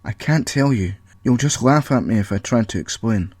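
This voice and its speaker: A man's voice: medium pitch, lively intonation, normal speed, darkish tone, husky, no room sound. A man voicing a cross, secretive inventor in an audio story, irritable, protective and exasperated. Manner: snappish, exasperated.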